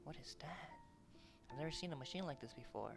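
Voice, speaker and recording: A woman's voice: sits low, lively intonation, a little fast, creaky. A woman casually commenting aloud as she plays through a game on stream. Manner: chatty, reacts aloud to the game. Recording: voice under loud game music.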